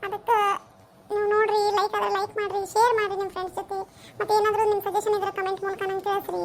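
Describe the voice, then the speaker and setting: A woman's voice: very high voice, flat delivery, rapid-fire. A woman with a North Karnataka accent, informally addressing viewers as the creator and host of a cartoon story. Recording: faintly noisy home recording.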